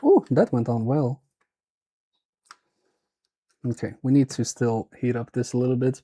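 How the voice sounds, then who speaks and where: A man's voice: medium pitch, a little slow, warm tone. A man with a Swedish accent, thinking aloud and muttering to himself as he repairs a toy on a live stream. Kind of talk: casual live-stream commentary while repairing.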